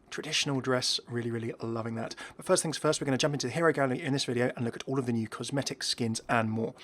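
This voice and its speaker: A man's voice: medium pitch, a bit bright. A man hosting a gaming video, talking through game cosmetics with casual, enthusiastic, rambling reactions.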